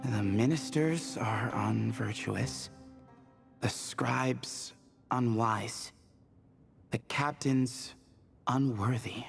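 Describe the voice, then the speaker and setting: A man's voice: on the low side, creaky. A man voicing a playful, knowledgeable young scholar in a video game, explaining things in an upbeat way. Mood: solemn.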